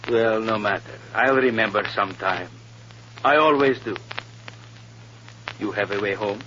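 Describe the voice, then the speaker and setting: A man's voice: on the low side, measured pace, fairly bright tone. A male actor playing a suspicious communist party boss in an old-time radio drama, formal and probing. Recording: noisy muffled old radio recording.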